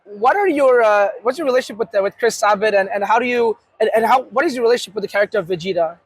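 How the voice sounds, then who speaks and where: A man interviewing a guest, asking his questions haltingly with many restarts. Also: high voice, slightly rough, dry recording. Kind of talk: casual convention interview questions.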